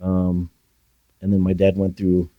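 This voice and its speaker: A man guest on a podcast, telling his childhood story in a soft, hesitant way with many pauses. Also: low voice, completely flat delivery, no room sound, narrow, tinny audio. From Philippines. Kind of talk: reflective personal storytelling.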